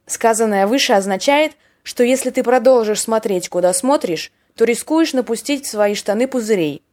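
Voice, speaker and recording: A woman's voice: a little high, moderate pace, darkish tone. Woman reading a slang lesson podcast, dry playful tone, acts out little examples. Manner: wry, playful, acts out short example dialogues. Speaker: podcast host teaching Russian slang. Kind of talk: scripted educational podcast narration.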